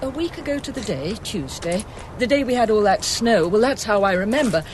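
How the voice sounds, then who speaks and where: An actress playing a brisk, guarded gallery manager in a radio drama, clipped and formal. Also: female voice, mid-range voice, expressive, noisy background, lightly acted. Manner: clipped, formal and faintly defensive.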